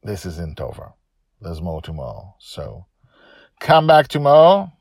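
A middle-aged man speaking as an author and podcast host, dramatic and emphatic, with deliberate pauses and repeated phrases. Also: sits low, wildly swooping pitch, darkish tone. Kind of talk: emphatic solo storytelling podcast commentary and credits.

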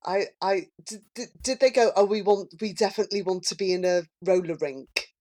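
A woman's voice: medium pitch, a little fast, mellow. A woman chatting casually as a panellist on a podcast. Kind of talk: casual chat.

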